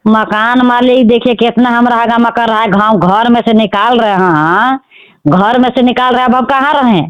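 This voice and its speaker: A woman's voice: a little high, moderate pace, clean tone. Defensive woman on a muffled phone call. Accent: Jharkhand Bhojpuri-influenced Hindi. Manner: complaining, defensive. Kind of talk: heated phone conversation. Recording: dull lo-fi recorded phone call.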